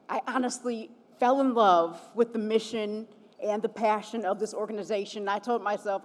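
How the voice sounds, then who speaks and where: A young woman from Chicago, a TV reporter, hosting a gala and cheering on the crowd with call-and-response. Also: medium pitch. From Chicago, USA. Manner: upbeat, rallies the audience with call-and-response. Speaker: event host, TV reporter. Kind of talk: gala emcee speech. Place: large event hall. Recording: long hall reverb from event PA.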